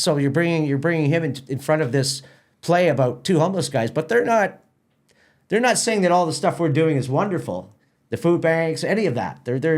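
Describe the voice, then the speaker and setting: A man with a Canadian accent chatting casually as an interview guest, explaining things in a halting, rambling way with repeated words. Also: fairly high pitch. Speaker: festival organiser and stage manager, podcast guest.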